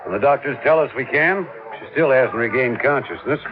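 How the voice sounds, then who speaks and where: An American actor playing a plainspoken, dogged but easygoing police captain in a 1950s radio drama. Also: male voice, medium pitch, animated, a little fast, natural voice. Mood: earnest, dogged. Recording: tinny vintage radio recording.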